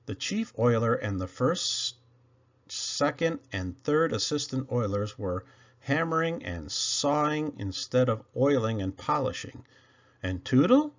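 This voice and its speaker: A man reading a children's story aloud, narrating expressively with dramatic emphasis and drawn-out words. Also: medium pitch, highs cut off. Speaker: storybook reader.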